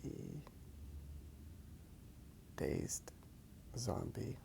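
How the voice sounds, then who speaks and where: A man rambling softly in a breathy ASMR whisper, unhurried and halting as he comments on his drawing. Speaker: host of a drawing ASMR channel.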